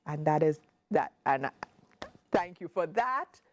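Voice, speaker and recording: A woman in her forties with a Caribbean accent, speaking as a guest CEO in a casual interview, measured and emphatic, repeating points for stress. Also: low-pitched, animated. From Grenada.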